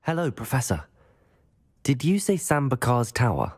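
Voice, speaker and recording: An actor playing a keen, polite young student wizard in a video game. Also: male voice, medium pitch, sing-song, moderate pace. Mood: calm, alert. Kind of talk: scripted video game dialogue.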